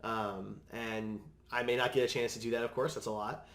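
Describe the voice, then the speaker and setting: A man's voice: medium pitch, steady tone, moderate pace, a bit bright. A man co-hosting a podcast, casually explaining his opinions on movies in an opinionated way. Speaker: podcast co-host. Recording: echoey room.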